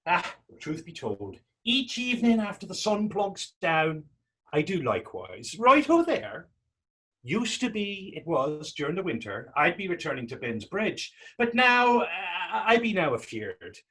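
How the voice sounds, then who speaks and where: Man acting husky, teasing young Irish drifter. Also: high-pitched, wildly swooping pitch, unhurried, a bit bright, exaggerated voice. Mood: defensive, wistful. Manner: teasing, lilting folksy phrasing. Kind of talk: stage readers theater dialogue.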